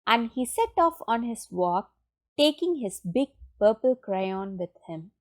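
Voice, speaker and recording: Woman, children's read-aloud, fairly high voice, animated intonation, expressive, deliberate storytelling. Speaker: storybook reader.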